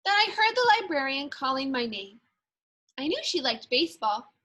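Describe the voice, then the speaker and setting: A woman who teaches second grade, reading a picture book aloud with expressive, deliberate storytelling and dramatic emphasis. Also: high-pitched.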